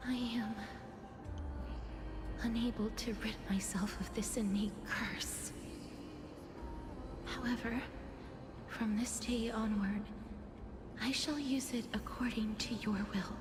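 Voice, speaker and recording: Slow, soft-spoken solemn young maiden. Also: female voice, a little high, steady tone. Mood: earnest, subdued. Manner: formal, measured, hesitant. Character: gentle, solemn young holy maiden burdened by a death curse. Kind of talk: scripted English video game voice acting. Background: game music.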